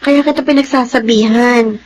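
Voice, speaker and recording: A woman with a Filipino accent playing a concerned, gently scolding confidante in a radio drama. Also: on the high side, steady tone, moderate pace, a little hiss or hum, lightly acted, low-quality recording. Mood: worried, admonishing.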